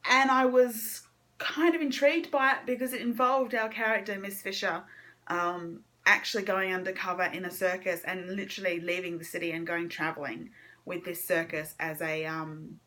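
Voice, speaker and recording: A woman's voice: medium pitch, steady tone, moderate pace, a bit bright. A woman giving a casual book vlog chat, informal and hesitant as she thinks aloud. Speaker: book vlogger. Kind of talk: casual monthly reading wrap-up chat.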